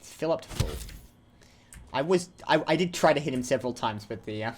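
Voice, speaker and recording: A man's voice: mid-range voice, animated, a little fast, slight background noise. A young man giving casual, rambling commentary while playing a video game, often cutting himself off mid-sentence. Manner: rambling, chatty, self-interrupting. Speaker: let's play host.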